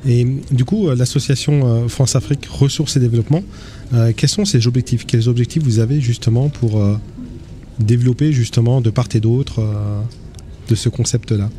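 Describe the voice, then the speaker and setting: Mellow-voiced male radio host. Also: medium pitch, normal speed, a little hiss or hum. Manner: relaxed, friendly, some hesitations. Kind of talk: live radio interview. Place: outdoor association fair.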